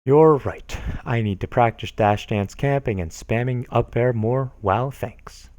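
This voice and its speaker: A man reading online forum arguments aloud in a deadpan dramatic style, even speaking the punctuation. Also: medium pitch, a little slow. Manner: reads punctuation and likes aloud flatly. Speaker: reader of online posts.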